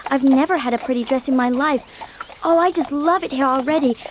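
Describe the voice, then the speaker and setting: A dreamy young orphan girl acted by a woman chatters away in a high voice. She is eager and gushing, full of exclamations, as heard in a dramatised storybook. There is faint noise behind her. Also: a little fast, lightly acted. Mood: delighted. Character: eager, talkative, dreamy eleven-year-old orphan girl. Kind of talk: audiobook dramatisation acting.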